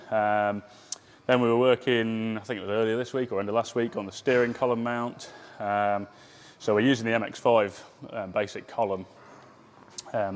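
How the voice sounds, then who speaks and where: A man with a cold and a hoarse voice, casually walking through a car build in a workshop with plenty of ums. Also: fairly low pitch, a little hiss or hum. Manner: relaxed, lots of ums.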